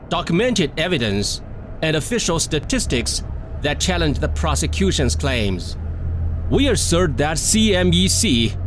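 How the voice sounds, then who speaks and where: A man's voice: a little high, a little hiss or hum, close to an everyday voice. A man playing a polished defense attorney. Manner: polished and persuasive. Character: composed male defense attorney. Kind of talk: formal courtroom address.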